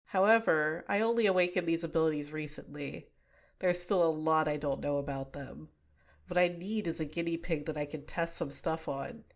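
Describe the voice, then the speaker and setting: A young woman voicing a cute but manipulative female villain in a soft-spoken, teasing ASMR roleplay, recorded close to the mic. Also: deep voice, moderate pace. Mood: smug, explaining.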